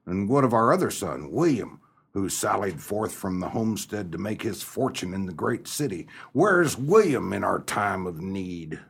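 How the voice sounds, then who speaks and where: Man acting a gravelly, gloomy old farmer. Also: low voice, big pitch swings, moderate pace, exaggerated voice. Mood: indignant. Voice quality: gravelly, husky. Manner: theatrical, florid, mournful. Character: gloomy, stern old farmer husband and father. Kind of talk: comic melodrama radio acting.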